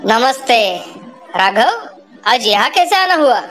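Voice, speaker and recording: A woman voicing a shrewd male village headman with a measured, businesslike manner in a cartoon. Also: fairly high pitch, big pitch swings, fairly bright tone, slight background noise, full character voice, poor audio. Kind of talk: cartoon moral-story dialogue. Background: music.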